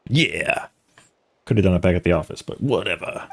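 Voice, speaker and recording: A man's voice: sits low, animated, moderate pace, gravelly, a bit bright, husky. A man doing casual live playthrough commentary with wry asides. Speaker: live streamer.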